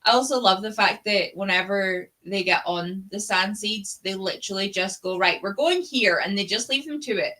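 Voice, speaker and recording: A woman's voice: mid-range voice, moderate pace, few pauses, pushed and bright. A young woman hosting a casual book chat, gushing and sweary like an enthusiastic fan. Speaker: book club live show host. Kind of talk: casual chat about books.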